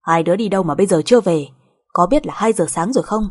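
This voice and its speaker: A woman's voice: on the low side, fairly quick, darkish tone, close to an everyday voice. A woman voicing a stern older mother-in-law who is curt, demanding and sarcastic in an audio drama. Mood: scolding.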